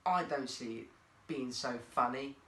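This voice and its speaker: A man's voice: medium pitch, measured pace, bright, forceful tone, echoey room. A man voicing a sulky, cocky, scornful schoolboy in a children's storybook reading. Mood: annoyed. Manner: grumbling, scornful. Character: sulky, cocky schoolboy who scoffs and grumbles.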